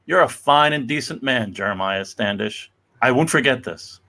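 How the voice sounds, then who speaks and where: Casting: male reader as sincere middle-aged family man, 1950s comic drama, mid-pitched creaky voice, measured. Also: animated, sharp tone. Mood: grateful. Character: grateful, earnest middle-aged small-town father and shopkeeper.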